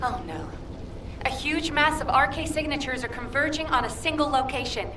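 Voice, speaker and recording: An alert mission operator reports in military phrases with a high voice. It sounds like a game, distant and echoey under loud noise. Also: female voice, expressive, moderate pace. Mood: alarmed. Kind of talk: video game voice acting.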